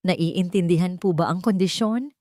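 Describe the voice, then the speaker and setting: Lady reading as a male lawyer in a love drama, calm, polite, very formal, dry sound. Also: lowish voice, moderate pace, no echo, lightly acted.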